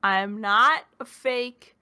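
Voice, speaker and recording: A woman's voice: fairly high pitch, extremely slow, sharp tone, clean tone. A woman in her early twenties, a podcast co-host, chatting casually with lots of filler words and self-deprecating asides. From Minnesota. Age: 20s. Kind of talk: casual chat.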